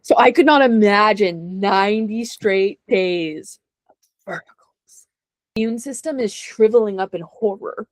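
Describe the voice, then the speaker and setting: A woman's voice: medium pitch, a little slow, no room sound. Young woman talking shop, laughs a lot, dead dry close sound. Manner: chatty, self-deprecating, laughs often. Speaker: guest actor. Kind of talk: casual interview chat.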